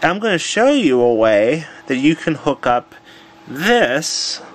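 A man casually demonstrating a home electronics project, unhurried and thinking aloud with fillers, filmed on a handheld camera in a room at home. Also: on the high side, very animated. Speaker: tutorial presenter. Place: home room with old radio.

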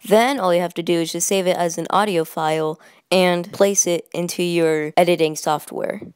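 A woman's voice: fairly low pitch, steady tone, normal speed, darkish tone, clean tone, no room sound, studio quality. A woman giving a casual how-to voice-over as a video creator.

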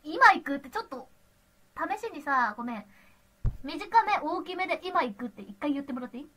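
Really high animated woman coaching voice actors, excitable, says stuff over and over, noisy call audio. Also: fairly quick. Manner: excitable, effusive, repeats phrases. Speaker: voice drama director. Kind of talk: casual rehearsal direction over a call.

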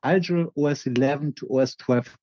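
A French man with a husky, mellow voice talks fast in a podcast chat, often restarting his sentences. The sound is dry and a little dull, like a lo-fi home recording. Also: mid-range voice, no room sound. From France. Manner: quick, with frequent restarts and fillers. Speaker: co-host.

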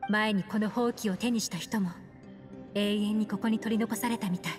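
An actress playing a composed, mysterious adult woman in an anime-style game, speaking in a poised, measured manner. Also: medium pitch, fairly even intonation, close to an everyday voice. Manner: poised, measured, feminine phrasing. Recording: long reverb, music underneath.

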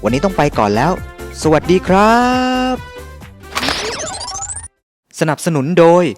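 A man's voice: fairly high pitch, expressive, takes it slowly. A man presenting a children's television show, speaking to young viewers over music. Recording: music behind the voice.

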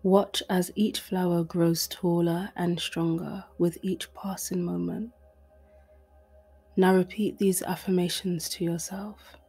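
A woman leads a guided meditation in a hushed, breathy voice. Her delivery is very slow and even, with little rise or fall in pitch. Soft music plays behind her soothing words. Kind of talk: guided meditation, scripted narration.

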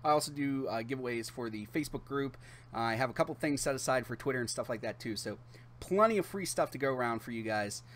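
A man in his thirties hosting a channel, talking casually to camera in a chatty, halting way with drawn-out pauses. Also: mid-range voice, a bit bright, slight background noise. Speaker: YouTube channel host. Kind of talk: casual direct-to-camera giveaway announcement.

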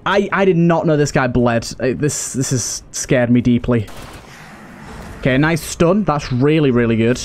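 A man's voice: medium pitch, measured pace, a little hiss or hum. A man giving casual let's play commentary in an anxious, exasperated way, often repeating himself. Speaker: gaming YouTuber and host. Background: game music.

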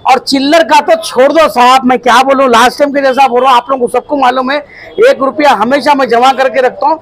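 A man's voice: very high voice, steady tone, fast talker, a bit bright, no echo. A young man with a Hyderabadi accent giving a comic, exaggerated promotional speech into news mics in a crowded eatery. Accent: Hyderabadi Hindi-Urdu. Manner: theatrical, comic, exaggerating, stretched-out words. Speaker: restaurant owner promoting his food court. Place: crowded small eatery indoors. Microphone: cluster of handheld news mics.

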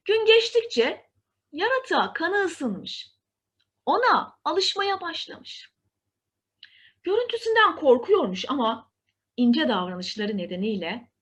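A woman storyteller reading a scripted fairy tale for children in an expressive, deliberate way. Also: high voice.

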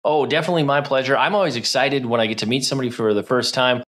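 A man's voice: medium pitch, rapid-fire, fairly bright tone. A middle-aged man hosting a podcast from his home studio, reading a scripted opening and then asking friendly questions. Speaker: podcast host. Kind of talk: podcast interview. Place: indoors, home studio with neon sign. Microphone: over-ear headset.

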